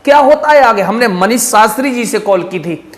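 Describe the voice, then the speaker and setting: A man's voice: high-pitched, animated, moderate pace. Emphatic male channel host. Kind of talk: spoken commentary to channel viewers.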